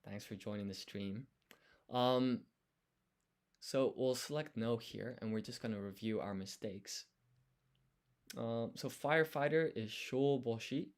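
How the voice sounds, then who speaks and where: Slow, deliberate man on a study livestream. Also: medium pitch. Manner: thinking aloud, sounding out words syllable by syllable. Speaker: live streamer studying Japanese. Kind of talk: casual live study chat.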